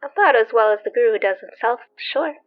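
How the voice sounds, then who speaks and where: Woman playing young shop girl, highish voice, polite n a bit wry, very muffled audio. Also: animated, a little fast, lightly acted. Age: young adult. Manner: courteous, helpful, wry. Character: polite, chatty young female shop assistant, gently wry. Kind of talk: audio drama acting. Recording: muffled sound with music behind.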